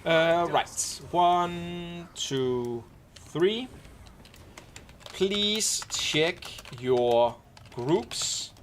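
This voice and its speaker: A man live-streaming, gently and casually explaining game mechanics to his squad with wry asides. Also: a little high, lively intonation, very slow, a little hiss or hum. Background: faint keyboard typing and clicks.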